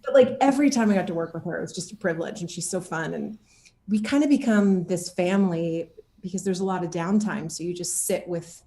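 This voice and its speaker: A woman's voice: fairly low pitch, brisk. Echoey, chatty woman in an interview. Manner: animated, chatty, laughs often as talking. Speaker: actor being interviewed. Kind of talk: casual interview chat, telling anecdotes.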